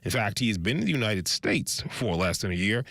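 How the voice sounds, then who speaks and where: A man presents sports news from a script. His voice sits low and is recorded dry, with no room around it. He phrases formally and with measure, setting off key words like a practiced newsreader. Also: lively intonation, a little fast, a bit bright, no echo.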